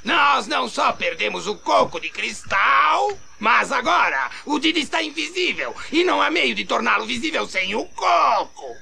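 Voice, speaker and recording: A man voicing a cranky, scolding old ape in a Brazilian cartoon dub, with a gravelly, piercing, emphatic voice. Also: very high-pitched, very animated, normal speed, bright, forceful tone, shouting, slight background noise, full character voice. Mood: alarmed scolding. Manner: irascible, insulting, emphatic.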